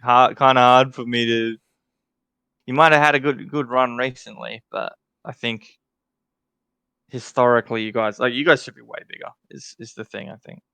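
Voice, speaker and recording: Guy on a podcast thinking out loud, lots of ums, smooth but gravelly voice, dry close sound. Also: mid-range voice, steady tone, moderate pace, dry recording. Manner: thinking aloud, hesitant, lots of fillers and restarts. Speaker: podcast co-host ranking game alliances. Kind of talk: casual podcast chat.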